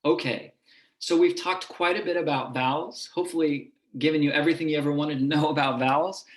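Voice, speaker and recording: Man lecturing in a reverberant hall, slightly raised pitch, calm and explanatory. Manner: measured and explanatory, with occasional restarts. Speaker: university linguistics professor giving a public talk. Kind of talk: lecture presentation. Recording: echoey room.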